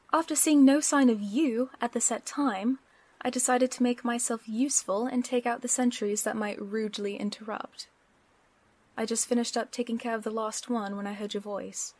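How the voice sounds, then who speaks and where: Woman acting a husky, sassy villainess. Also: on the high side. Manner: teasing, sharp-tongued. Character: sassy, flirtatious, dangerous female villain, protective and suspicious. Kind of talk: audio roleplay drama acting.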